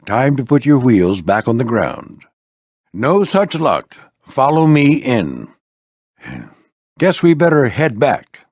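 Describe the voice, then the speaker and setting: An old veteran warplane for an animated video game. He is a gruff but fatherly squadron mentor whose pitch moves up and down freely. His talk is folksy with clipped military turns, and the recording is dry and close. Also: slow, no room sound. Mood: encouraging, folksy. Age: older.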